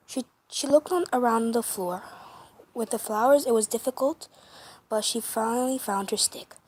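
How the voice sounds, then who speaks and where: A woman narrating a fan story for her first amateur comic dub, halting with many restarts and self-corrections. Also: fairly high pitch, a little mellow, husky, low-quality recording. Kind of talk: reading a fan story aloud.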